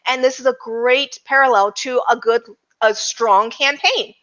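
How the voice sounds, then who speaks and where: Woman w/ high voice, chatty roundtable panelist, emphatic, kinda dull lo-fi audio. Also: measured pace, fairly bright tone. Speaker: podcast panelist. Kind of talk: casual roundtable chat.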